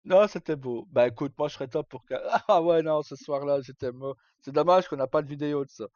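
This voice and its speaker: A man's voice: on the high side, brisk, darkish tone, no room sound, lo-fi sound. A man hosting a livestream, chatting informally and casually with some mild swearing. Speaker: livestream host. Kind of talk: casual chat.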